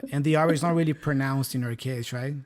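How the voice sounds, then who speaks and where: A man's voice: medium pitch, fairly even intonation, fast talker, darkish tone. A man in his thirties from Brazil with a Brazilian Portuguese accent, speaking English as a second language as a podcast co-host. He explains words in a friendly way and keeps checking in with his listener. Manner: friendly, often checks in with "right?" and "yeah?". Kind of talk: casual teaching chat.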